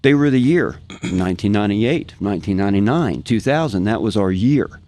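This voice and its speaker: A man's voice: on the low side, expressive, brisk, warm tone. A man from Kansas with an American accent, chatting casually and enthusiastically as a guest and reminiscing, often repeating phrases for emphasis. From Kansas, United States. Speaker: guest, professional guitarist. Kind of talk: casual podcast chat, reminiscing.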